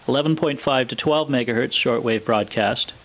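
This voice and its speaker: A man's voice: medium pitch, moderate pace, fairly bright tone. A man presenting in scripted narration, explaining equipment in a methodical, matter-of-fact way. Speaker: presenter of a vintage radio equipment video.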